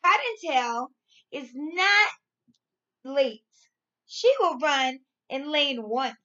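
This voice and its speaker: A woman in her thirties, a primary school teacher reading and explaining to young children in an expressive, clearly enunciated way. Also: sits high, very slow, lo-fi sound. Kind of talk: read-aloud story and lesson for young children. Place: small furnished room at home, indoors.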